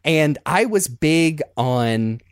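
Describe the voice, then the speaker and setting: A man's voice: on the high side, drawn-out words, smooth, no echo. Man chatting casually on a dry mic. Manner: animated, rambling, self-deprecating. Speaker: podcast host. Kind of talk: casual reminiscing chat.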